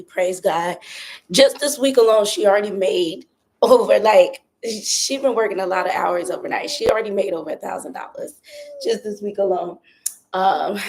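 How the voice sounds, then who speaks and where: A young woman chats casually on a live stream, her voice raspy and hoarse from a cold. She keeps it soft and doesn't push for volume. She is recording on her phone outdoors by her patio. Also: mid-range voice. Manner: talks softly, holding back her voice. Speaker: vlogger doing a live stream. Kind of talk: casual chatty live update.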